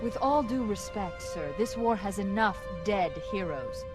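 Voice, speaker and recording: An actress playing a confident shipboard artificial intelligence, crisp and dryly wry, in a sci-fi game. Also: female voice, mid-range voice, lively intonation, unhurried, close to an everyday voice. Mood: concerned, firm. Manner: crisp, dry, teasing. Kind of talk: sci-fi game cutscene acting. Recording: loud music behind.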